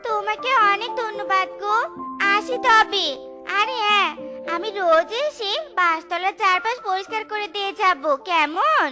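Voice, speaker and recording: A woman's voice: very high voice, measured pace, music in the background. A woman voicing a devoted, hardworking poor beggar wife and mother in a children's cartoon, with a childlike voice. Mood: grateful, devoted.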